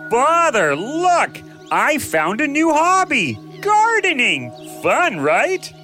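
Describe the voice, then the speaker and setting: A woman's voice: high-pitched, huge pitch swings, takes it slowly, bright, forceful tone, soft background music, heavily acted. A woman voicing a cheerful, naive, unsure young prince in a children's bedtime story, with a bubbly manner. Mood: delighted.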